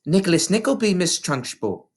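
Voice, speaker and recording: A reader voicing a small, clever schoolgirl from a storybook, polite and hesitant yet quietly bold. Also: medium pitch, sing-song, normal speed, voice pushed up, lightly acted. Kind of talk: storybook character voice in a read-aloud.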